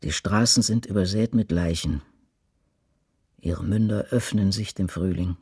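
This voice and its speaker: A man performing a grave prophetic witness to war in a radio drama, declaiming slowly in a husky, breathy voice. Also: deep voice, darkish tone, dry recording, studio quality, full character voice. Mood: somber. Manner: slow, declamatory, weighty. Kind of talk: dramatic radio poetry recitation.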